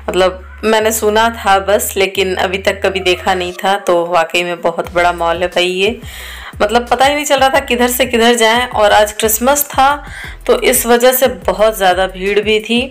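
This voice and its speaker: A woman in her thirties from India narrating her family's day out in a casual vlog voice-over, chatty and storytelling. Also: mid-range voice. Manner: chatty, storytelling, filler-heavy. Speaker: vlogger.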